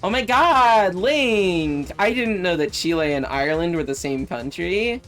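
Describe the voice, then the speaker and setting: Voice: mid-range voice, lively intonation, measured pace, a bit bright. A streamer chatting casually during a game stream, playful and teasing, with faint music behind. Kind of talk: casual gaming stream chat.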